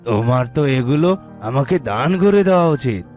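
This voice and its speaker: Cartoon folk-tale man played by a woman: grasping, scheming, very deep, animated intonation over faint music. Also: brisk. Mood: greedy, calculating.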